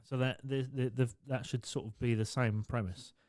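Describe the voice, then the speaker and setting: A man's voice: mid-range voice, fairly even intonation, moderate pace, darkish tone, no echo, clean studio sound. A young man co-hosting a casual podcast, swearing often and laughing as he tells his story. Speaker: podcast co-host. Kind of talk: casual chat. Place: home garage. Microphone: studio podcast mic.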